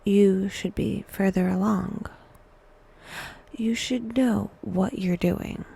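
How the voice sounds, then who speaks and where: Very slow, hushed, breathy woman guiding meditation. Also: medium pitch, fairly even intonation. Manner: hushed, breathy, often near a whisper; wry, dry humor. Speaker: guided meditation host. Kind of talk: scripted guided meditation narration.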